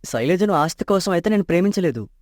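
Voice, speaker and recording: A man's voice: high-pitched, animated, fast talker, a little mellow, no echo, clean studio sound. A man playing an honest, troubled young man. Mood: earnest. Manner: earnest, troubled.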